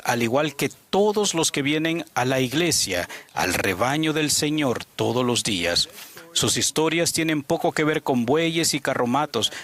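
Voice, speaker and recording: Brief: male interpreter, middle pitch, earnest story-led sermon. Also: a bit bright.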